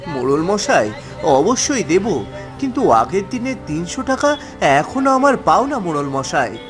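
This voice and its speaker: A man's voice: sits high, sing-song, measured pace, music in the background. A man voicing a poor, honest village fisherman in a cartoon, pleading in an earnest, plaintive way. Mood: pleading, despairing. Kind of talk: cartoon story acting.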